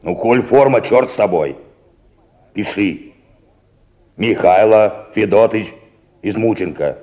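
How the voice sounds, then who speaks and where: Deep, surly peasant bass singer. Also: male voice, expressive, takes it slowly, highs cut off. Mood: surly, mocking. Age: middle-aged. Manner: stubborn, gruff, mocking. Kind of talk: Russian radio drama acting.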